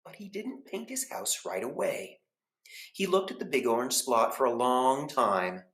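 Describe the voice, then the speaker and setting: Male storybook reader, mid-pitched and animated, deliberate expressive phrasing, echoey room. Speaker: school librarian reading aloud. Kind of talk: children's storybook narration.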